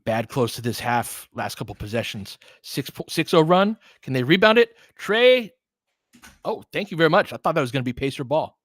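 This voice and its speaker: A man hosts live basketball commentary in a relaxed, chatty style. He follows the play in short, clipped phrases and openly sides with his team. The sound is dry, with very little room in it. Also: fairly high pitch, normal speed, dry recording. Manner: conversational, reacting as a partisan fan in short clipped phrases. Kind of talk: casual live play-by-play commentary mixed with fan chat.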